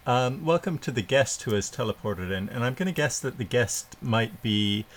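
A man's voice: medium pitch, lively intonation, moderate pace. A man hosting a live show, chatting casually and poking fun at himself as he welcomes guests. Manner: chatty and self-deprecating, with laughs and filler. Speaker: podcast host.

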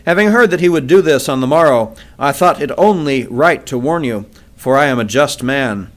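A man voicing a stern alien warrior chieftain who delivers grave, measured threats in an audiobook. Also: mid-range voice, animated, close to an everyday voice. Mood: menacing.

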